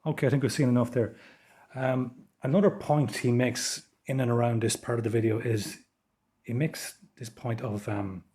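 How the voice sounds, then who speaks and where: An Irish man with an Irish accent giving informal political commentary as a host, in a measured, explanatory way. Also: medium pitch, fairly even intonation, mellow. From Ireland.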